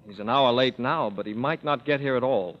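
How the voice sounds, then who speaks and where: A man's voice: mid-range voice, a little fast, lightly acted. A man playing an earnest young composer. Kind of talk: 1930s radio play acting. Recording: dull old radio broadcast with long hall reverb.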